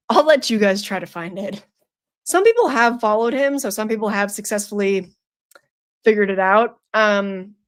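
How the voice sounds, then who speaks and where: A woman's voice: medium pitch, smooth. Young woman streamer, casual, playful and teasing, reads chat aloud, mid-range voice. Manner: chatty, playful, laughs often, teasing. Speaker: streamer hosting a horror trivia night.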